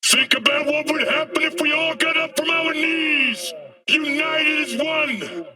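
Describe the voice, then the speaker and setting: A man's voice: very high-pitched, sharp tone, far from the mic, faint music behind, heavily acted. A man playing a fiery, paranoid anti-corporate street prophet in a video game, haranguing people in a declamatory protest rant. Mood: rousing, defiant. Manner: declamatory, haranguing, drawn-out emphasis. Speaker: street agitator, conspiracy preacher. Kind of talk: ranting protest speech, video game acting.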